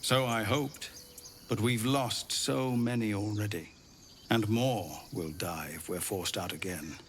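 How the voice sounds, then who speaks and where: An actor playing a weary middle-aged leader of refugees, speaking in a grave, pleading way. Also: male voice, lowish voice, unhurried, slight background noise, natural voice. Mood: worried. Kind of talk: video game dialogue. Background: game music.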